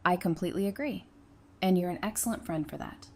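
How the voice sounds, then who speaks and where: A woman's voice: on the low side, brisk. An actress playing a sensible, affectionate, plain-spoken woman in an audio drama. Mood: caring, practical. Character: frank, teasing, sensible woman, affectionate with her sweetheart.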